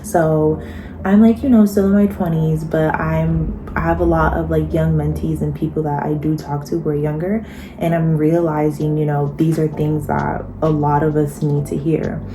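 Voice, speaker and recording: Low-voiced woman in her twenties, chatty. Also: level tone, normal speed, noisy background. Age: 20s. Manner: chatty and emphatic, frequent "okay?" and "y'all". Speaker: vlogger giving life advice. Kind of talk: casual advice vlog to camera.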